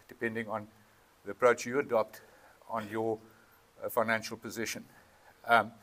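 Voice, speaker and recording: A South African man opens a community meeting in a town hall, speaking through a PA microphone. His delivery is earnest and halting, with frequent ums and a mid-range voice. He drifts between Afrikaans and English as he talks. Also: mid-range voice, fairly bright tone. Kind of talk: public address at a town meeting. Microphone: PA microphone with feedback.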